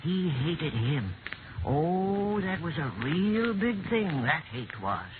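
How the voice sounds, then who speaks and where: An actor playing an excitable, boastful little down-on-his-luck man who rambles folksily in a 1950s radio drama. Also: fairly high pitch, slow. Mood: confiding. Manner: rambling, folksy gossip with repeated "yeah, yeah". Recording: tinny, noisy vintage radio recording.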